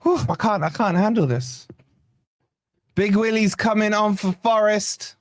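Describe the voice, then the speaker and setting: A man hosting a fan channel's live watch-along, hoarse from shouting, giving excited, partisan play-by-play with swearing. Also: high-pitched, measured pace, no echo. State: hoarse, losing his voice. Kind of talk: excited fan match commentary.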